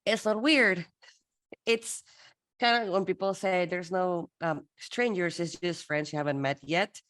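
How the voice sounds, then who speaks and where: Low-voiced woman from Peru teaching. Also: unhurried. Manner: lively, playful teaching with acted-out dialogue. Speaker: workshop presenter, interpreter. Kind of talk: online workshop presentation.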